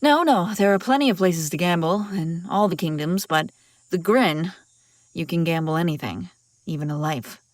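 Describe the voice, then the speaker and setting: A woman's voice: lowish voice, normal speed, dry recording, clean studio sound, lightly acted. A woman playing a haughty, wry young princess on the run in an acted fantasy audio roleplay, bantering with exasperated sarcasm. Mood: conspiratorial, explaining. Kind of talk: acted audio roleplay, one-sided dialogue.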